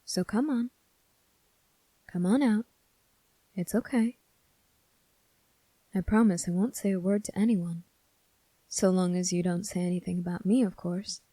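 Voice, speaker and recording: Woman as kind young male cadet for ASMR roleplay; relaxed dark tone, gentle and reassuring, very dry sound. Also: fairly low pitch, unhurried, pauses often, no echo, rough recording. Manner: soft, gentle, reassuring, polite. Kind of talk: ASMR character roleplay, spoken to the listener.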